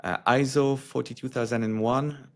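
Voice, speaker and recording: A man who works as a security executive, answering interview questions in a halting, filler-heavy way. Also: mid-range voice, steady tone.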